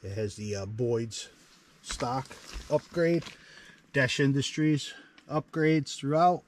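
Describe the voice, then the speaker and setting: A man's voice: mid-range voice, slight background noise. A man in his thirties vlogging casually from inside a tent in the woods, rambling through his plans with fillers and restarts. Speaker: outdoor vlogger. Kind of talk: casual camp vlog. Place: inside a canvas hot tent in autumn woods.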